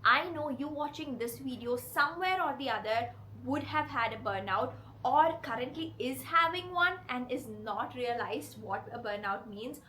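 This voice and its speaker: A woman with a cold that leaves her voice hoarse and rough, hosting her channel and talking earnestly and directly to her viewers. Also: high voice, expressive, moderate pace, few pauses, sharp tone, a little hiss or hum, low-quality recording. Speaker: channel host. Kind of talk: confessional vlog talk to camera.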